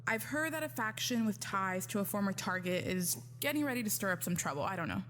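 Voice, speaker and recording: An actress playing a guarded, self-reliant veteran agent in an audio drama. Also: female voice, medium pitch, animated, fast, a bit bright, close to an everyday voice. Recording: hall reverb, faint hum.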